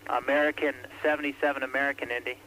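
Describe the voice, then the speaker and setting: A man's voice: mid-range voice, little pitch movement, rapid-fire, pushed and bright, low-quality recording. A man working as an air traffic controller, calling aircraft in terse, clipped radio phrasing. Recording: archival radio recording with faint hiss.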